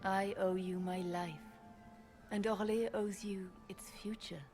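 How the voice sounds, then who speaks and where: A woman's voice: mid-range voice, fairly even intonation, darkish tone, lightly acted. Stately empress in a reverberant hall acted by a woman. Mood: grateful. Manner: stately, formal, measured. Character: regal, composed empress addressing her court. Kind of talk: formal dramatic game acting. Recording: long hall reverb over music.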